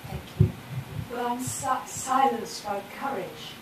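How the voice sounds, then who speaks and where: A woman in the audience asks her question slowly and thoughtfully. Her voice rings around a very echoey room from far off. Noise sits under her words. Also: fairly high pitch, expressive. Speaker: audience member.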